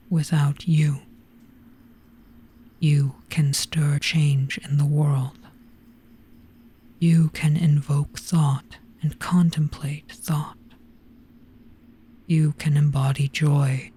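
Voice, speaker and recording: A performer voicing an ancient, gentle, weary monster in a hypnotic roleplay, speaking very slowly in a grave, breathy hush. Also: medium pitch. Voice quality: breathy, whispery. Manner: very slow, hushed, grave.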